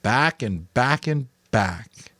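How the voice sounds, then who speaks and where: A man's voice: mid-range voice, animated, very slow, sharp tone, no echo, pitched above the performer's own voice, exaggerated voice. A man voicing a distraught, bitterly defiant adolescent boy in a dramatic audiobook reading. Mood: despairing. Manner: tearful, sarcastic, spitting out questions.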